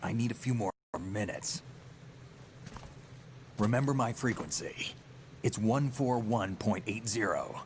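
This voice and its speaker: Guy doing cool seasoned soldier, kinda deep, guarded, video game briefing, slight noise. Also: measured pace, long pauses, natural voice. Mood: businesslike.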